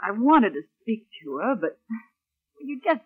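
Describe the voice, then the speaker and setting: An actress playing an indulgent, dismissive wife and busy producer in a 1940s radio drama, with an expressive, airy manner. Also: female voice, on the high side, unhurried, slightly rough, exaggerated voice. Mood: weary, dismissive. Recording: muffled, band-limited old radio recording.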